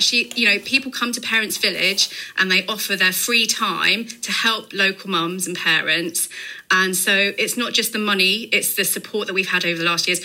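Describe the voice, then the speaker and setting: A woman's voice: mid-range voice, sharp tone, poor audio. A woman speaking fluently and enthusiastically as a community organisation's guest in a radio interview.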